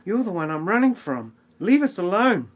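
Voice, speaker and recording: A man's voice: high voice, big pitch swings, normal speed, background noise, pitched above the performer's own voice. A man voicing a bossy, pleading woman in a spoof film audio play, with a thin, strained, exaggerated urgency. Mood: indignant.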